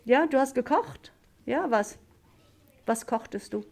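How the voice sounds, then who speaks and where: A woman from Germany, a German teacher hosting a classroom podcast, asking simple questions slowly with many fillers. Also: fairly high pitch, animated, vocal fry, darkish tone.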